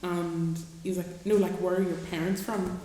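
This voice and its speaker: A woman, softly telling a personal story in a casual panel discussion. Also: lowish voice, flat delivery, moderate pace. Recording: echoey hall.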